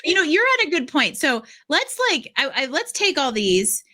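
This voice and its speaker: A woman hosting a conversational podcast interview, explaining things in an animated, casual way. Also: sits high, moderate pace. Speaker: podcast host interviewing a guest.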